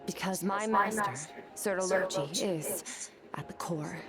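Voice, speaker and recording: A woman playing a stoic young swordswoman in a video game, speaking in a measured, grave and formal way. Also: medium pitch, over a noisy crowd, lightly acted. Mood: grave, resolute. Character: stoic young swordswoman, solemn and burdened by her past. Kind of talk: scripted video game dialogue. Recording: game audio with music behind. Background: game music.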